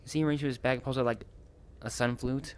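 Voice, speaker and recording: A man's voice: mid-range voice, steady tone, fairly quick. Tentative man roleplaying over a headset. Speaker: roleplay player. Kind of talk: improvised online roleplay, narrating own actions. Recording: slightly noisy headset voice chat.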